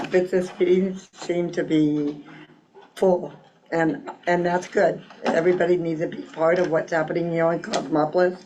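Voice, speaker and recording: A meeting chair who has lost their voice, speaking softly and haltingly while opening a public meeting in a council chamber. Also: medium pitch, lo-fi sound.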